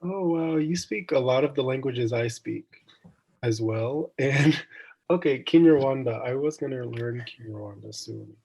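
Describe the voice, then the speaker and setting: A man's voice: mid-range voice, a little slow, creaky, darkish tone, husky, low-quality recording. A young man from Jamaica, hosting a casual online student discussion, thoughtful and meandering with frequent ums and restarts. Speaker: student event host.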